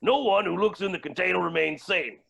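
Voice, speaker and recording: A man playing a flamboyant, menacing and unhinged billionaire villain in a staged play reading, with a raspy, creaky voice and grandiose delivery. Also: high-pitched, fairly quick, exaggerated voice. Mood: smug menace. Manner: theatrical, grandiose, swinging from mutters to shouts.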